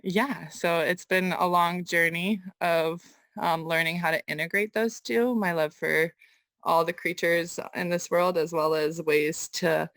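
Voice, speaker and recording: A young woman from Kazakhstan, raised in the US, answering interview questions thoughtfully with many pauses and restarts. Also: lowish voice, fairly even intonation, fairly bright tone, no room sound. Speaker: guest artist. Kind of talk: casual interview conversation.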